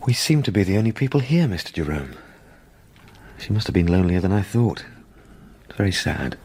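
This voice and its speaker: Young english solicitor in a radio play, polite n earnest, pitch moves a lot, bit of noisy ambience. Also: male voice, big pitch swings, a little fast, darkish tone. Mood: uneasy, curious. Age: young adult. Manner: courteous, formal, earnest. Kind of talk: British radio drama acting.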